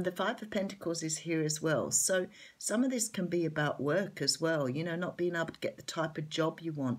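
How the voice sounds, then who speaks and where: A middle-aged Australian woman with a hoarse, croaky voice, chatting casually as she reads tarot cards at home. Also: low-pitched. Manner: chatty, open, laughs lightly. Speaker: tarot reader. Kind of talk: casual tarot reading to camera. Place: home room at a table.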